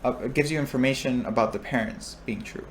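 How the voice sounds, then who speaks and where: Young male instructor, mid pitch, explains step by step, restarts often, faint hiss. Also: fairly quick. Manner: thinks aloud with frequent restarts and checks in with listeners. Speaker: recitation instructor. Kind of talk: explaining a lecture topic.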